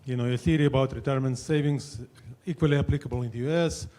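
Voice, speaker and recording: A man with an Israeli accent in the audience, asking an earnest, deliberate question. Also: mid-range voice, fairly even intonation, mellow. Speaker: audience member.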